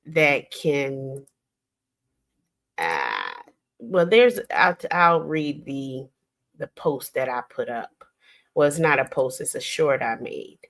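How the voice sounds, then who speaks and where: An older woman hosting a casual late-night livestream, talking in a slow, reflective, rambling way with many pauses. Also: low-pitched, a bit bright. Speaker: livestream host.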